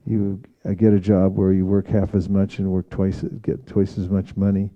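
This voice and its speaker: A man speaking as a spiritual teacher in an informal devotional discussion, reflective and halting in his phrasing. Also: low voice, monotone, dull audio. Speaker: spiritual teacher leading a scripture class.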